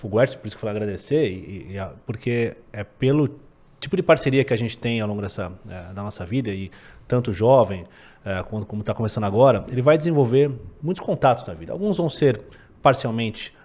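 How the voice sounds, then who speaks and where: Host, male, low voice, quick delivery, dull lo-fi podcast audio. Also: a little mellow. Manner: quick, affirming, many sim and uhum. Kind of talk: casual podcast conversation.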